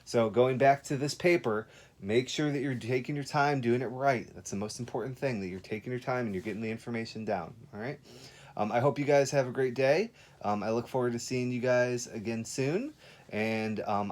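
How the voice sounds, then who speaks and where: A man with a medium-pitched voice talks like a kindergarten teacher. He explains things informally, one step at a time, with encouragement and frequent little check-ins for his young pupils. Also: fairly quick, a little mellow. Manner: instructive, step-by-step, frequent check-ins like okay and all right. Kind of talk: informal remote lesson for young children.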